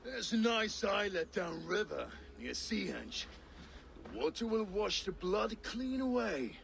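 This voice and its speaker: Slow, forceful, taunting Norse warrior. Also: male voice, sits high, big pitch swings, creaky, a little hiss or hum, exaggerated voice. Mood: menacing. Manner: taunting, declamatory. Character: boastful brutish male Norse warrior trading insults. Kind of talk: video game cutscene acting.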